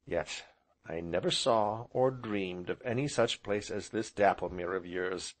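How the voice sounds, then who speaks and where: A man voicing a placid, dreamy gentleman in a storybook, speaking with slow, grave courtesy. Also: low voice. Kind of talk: audiobook character voice.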